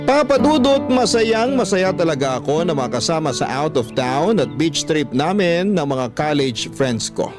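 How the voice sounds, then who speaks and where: A man's voice: a little high, very animated, moderate pace, hardly any pauses, music in the background. A man narrating a listener's personal letter in the first person as radio storytelling.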